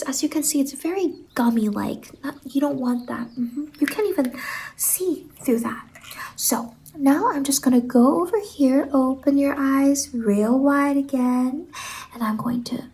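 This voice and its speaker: High, soft-whispering quirky salon girl played by a woman. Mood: playful, reassuring. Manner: soft-spoken, drifting in and out of whispers. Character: Mazey, quirky doting female fever-dream salon worker. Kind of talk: ASMR roleplay.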